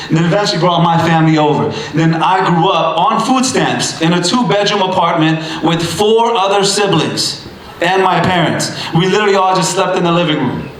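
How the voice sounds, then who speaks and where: A man in his thirties giving a motivational stage speech, emphatic and punchy, with lots of repetition and bursts of shouting. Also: sits high. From Bangladesh. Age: 30s. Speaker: motivational speaker. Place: event stage in a large hall. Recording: very echoey hall.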